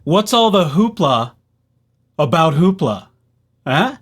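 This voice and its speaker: Animated man presenting a playful tutorial. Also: a little high, slow pace, breathy edge, soft background music. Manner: jokey, playful, addressing viewers directly. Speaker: library staff presenter of a tutorial series. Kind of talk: casual scripted tutorial narration.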